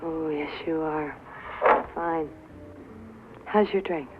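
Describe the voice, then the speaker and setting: An actress playing a tough, bitter young nightclub singer who drinks, with an American accent and a sardonic, drawling delivery, in a 1950s film drama. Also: female voice, sits low, steady tone, slow, loud music behind the voice. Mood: needling, sardonic. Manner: sardonic, drawling, dwelling on and repeating words. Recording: tinny, hissy 1950s film soundtrack.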